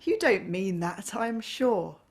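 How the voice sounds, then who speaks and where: A woman voicing a cheerful, warm-hearted young man who speaks brightly and pleads gently. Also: mid-range voice, big pitch swings, a little slow.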